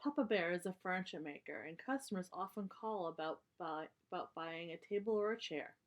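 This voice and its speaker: A woman's voice: on the low side, lively intonation, brisk. A woman reading a children's story aloud, explaining things in simple terms for young listeners.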